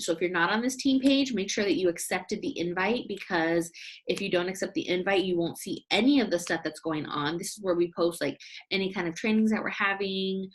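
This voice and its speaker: A woman's voice: medium pitch, fairly bright tone, poor audio. A young woman leading a casual online training call for her sales team, chatty and upbeat, speaking over a video call. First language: English. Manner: chatty and upbeat, often saying "you guys".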